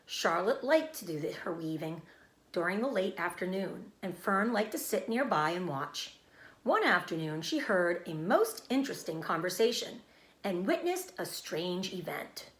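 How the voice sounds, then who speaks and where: A woman reading a children's storybook aloud as a teacher, narrating expressively and pausing to explain words simply. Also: lowish voice, sing-song, echoey room, low-quality recording.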